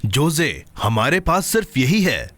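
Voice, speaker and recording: A man voicing a friendly, helpful companion to a boy in a children's cartoon dub, with expressive delivery. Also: on the high side, measured pace, slight background noise. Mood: reassuring.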